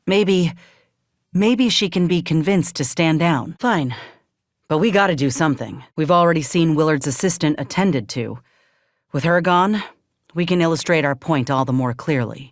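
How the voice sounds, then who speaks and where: An actress playing a grim, resolute outcast soldier in a video game, with a folksy drawl and a measured, wry delivery. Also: female voice, deep voice, no echo, clean studio sound. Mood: resolved, wary. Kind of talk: video game character dialogue.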